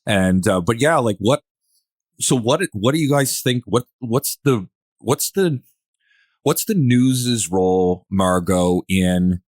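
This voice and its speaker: A man's voice: lowish voice, animated, slow, no room sound, studio quality. A man posing questions in a casual podcast discussion, rambling and circling toward his point.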